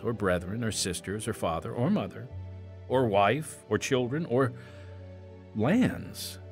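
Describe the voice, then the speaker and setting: A man hosting a scripted devotional radio program with emphatic, solemn, deliberate phrasing. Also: mid-range voice. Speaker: program host and announcer.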